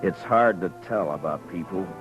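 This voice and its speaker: An actor playing a steady frontier marshal in a 1950s radio western, narrating in a slow, measured, low-key way. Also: male voice, highs cut off, lightly acted. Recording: old radio broadcast with music.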